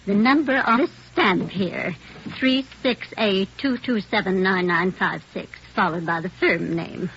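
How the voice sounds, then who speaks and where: An actress playing a precise, officious warehouse auditor in a 1950s radio drama, speaking in a formal, orderly way. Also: female voice, mid-range voice, a little slow, a bit bright. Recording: muffled, faintly noisy old radio recording.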